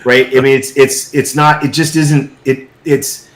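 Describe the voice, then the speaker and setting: A man in a noticeably echoey room, talking off the cuff as a guest. His delivery is halting and repetitive, and he presses his points insistently, often checking that the listener agrees. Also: medium pitch, little pitch movement. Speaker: guest filmmaker. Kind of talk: casual unscripted discussion. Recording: echoey, roomy sound.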